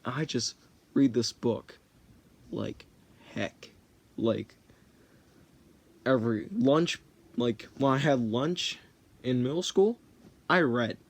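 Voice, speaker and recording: A man's voice: mid-range voice, slow, pauses often. A teenage boy rambling through a story in a casual, jokey way, often stopping to correct himself. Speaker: vlogger.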